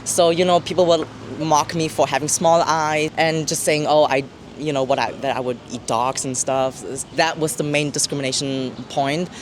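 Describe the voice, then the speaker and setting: A woman in her twenties from Germany, answering interview questions in a relaxed, halting way. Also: low-pitched, a little hiss or hum. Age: 20s. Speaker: interview guest.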